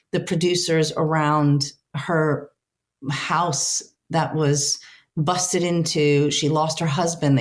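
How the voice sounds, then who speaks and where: A middle-aged woman whose first language is Ukrainian, giving earnest, heartfelt interview answers as a translator, often restarting her sentences. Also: low voice. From Ukrainian diaspora, raised speaking Ukrainian.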